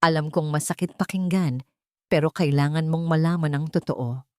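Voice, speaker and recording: A woman voicing a wealthy elderly mother in a radio drama, gentle in manner with a hidden coldness. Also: low-pitched, normal speed, clean tone, no echo, clean studio sound, natural voice. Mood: coldly persuasive. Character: wealthy elderly mother, sweet-talking but coldly scheming. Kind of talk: Filipino radio drama acting.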